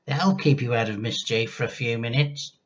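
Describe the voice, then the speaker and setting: A man's voice: medium pitch, moderate pace, dull audio, close to an everyday voice. A man voicing a grumpy, bossy, selfish old grandmother in a children's storybook, complaining and snappish. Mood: bossy, scolding. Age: older.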